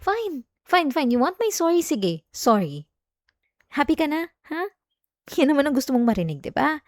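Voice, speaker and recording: A woman's voice: high voice, sing-song, normal speed, dry recording, studio quality. A woman acting as a jealous, clingy young girlfriend in an intimate ASMR roleplay, with a Filipino accent. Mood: sulky, defensive.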